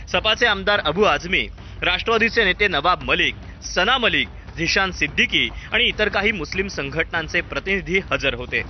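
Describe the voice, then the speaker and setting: High-pitched man narrating a dramatic news report. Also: slight background noise. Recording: slightly dull sound over music. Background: background music.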